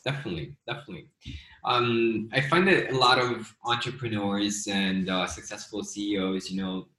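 A man's voice: on the low side, moderate pace, pushed and bright, reverberant, low-quality recording. A man hosting a podcast in a casual interview, affirming his guest and asking easy follow-up questions. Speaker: podcast host.

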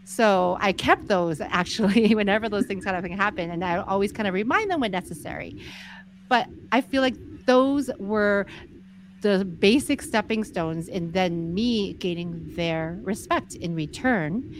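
A soft-spoken woman in her forties, a guest explaining her views in a measured, easygoing interview. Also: medium pitch, expressive, slight background noise. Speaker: podcast guest, entrepreneur. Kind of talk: casual interview conversation.

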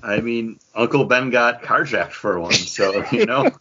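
A man co-hosting a podcast with a husky, rough-edged voice, trading casual banter in a wry, teasing way. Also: medium pitch, a little slow, lo-fi sound, background noise. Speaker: podcast co-host.

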